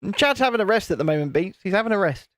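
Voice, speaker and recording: A man with a naturally high-pitched voice, hosting a casual livestream and cheekily bantering while reading out chat comments. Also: animated, rapid-fire, fairly bright tone, no echo. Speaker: host of a building livestream.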